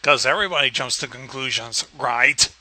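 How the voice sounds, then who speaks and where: A man's voice: mid-range voice, normal speed, pushed and bright. A man co-hosting with casual sarcastic commentary, blunt and profane. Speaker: co-host heckler.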